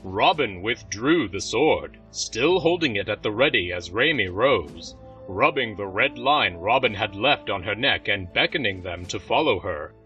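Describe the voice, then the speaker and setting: A man reading scripted audio drama narration in a dramatic, expressive storytelling manner. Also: lowish voice, unhurried, distant mic, lo-fi sound. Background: music.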